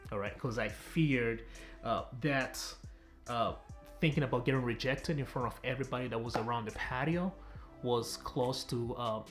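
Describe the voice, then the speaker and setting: A young man from Tanzania, a relationship coach, telling viewers a personal story in a direct, chatty vlog style. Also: medium pitch.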